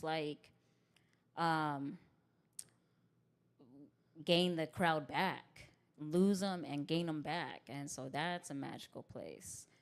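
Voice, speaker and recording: A young adult woman comedian from Tucson with a deep, bassy voice, talking candidly in a podcast interview with frequent fillers and easy laughs. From Tucson, Arizona. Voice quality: deep voice with bass. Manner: candid, laughs often, frequent fillers like "like" and "you know what I mean". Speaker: stand-up comedian, podcast guest. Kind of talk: casual interview conversation.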